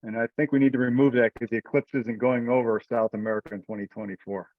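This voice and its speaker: A man's voice: mid-range voice, steady tone, fast talker, no room sound. A man chairing a group teleconference in a conversational, somewhat rambling and hesitant way. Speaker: meeting chair. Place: remote group conference call. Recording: dull, lo-fi conference call audio.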